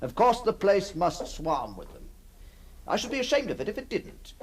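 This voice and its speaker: An actor playing a genteel middle-aged gentleman haunted by his past, musing in a refined, theatrical way in a radio drama. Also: sits high, huge pitch swings, moderate pace. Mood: nostalgic, intense. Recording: old radio recording with faint noise.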